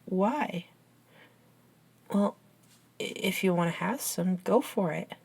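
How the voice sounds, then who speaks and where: Woman acting young female best friend, ASMR roleplay, unhurried delivery, mid-range pitch, caring, inquisitive, science-minded, earnest, teasing. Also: animated, vocal fry, a little mellow, husky, exaggerated voice. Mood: puzzled. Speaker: amateur audio roleplay voice actor.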